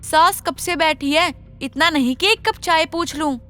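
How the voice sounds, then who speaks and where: A woman's voice: very high-pitched, a little slow, a bit bright. A woman voicing a cruel, scheming older mother-in-law in a moral story, bossy and sarcastic as she scolds. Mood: scornful, scolding. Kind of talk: Hindi moral story voice acting.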